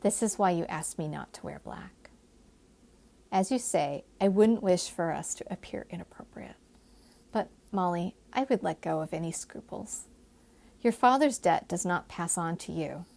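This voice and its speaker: A woman plays a scheming young gentleman from a historical romance novel. He is playful and persuasive, speaking in formal phrases with lively pitch and a slight creak. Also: moderate pace. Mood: gentle, reassuring.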